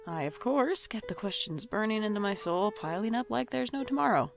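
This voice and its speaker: A woman's voice: mid-range voice, animated, fairly quick, vocal fry, music in the background. A woman playing an anxious, sarcastic young shop worker in an audio drama, with wry, exasperated narration and dialogue. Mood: wry, fed up. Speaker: podcast drama lead and narrator.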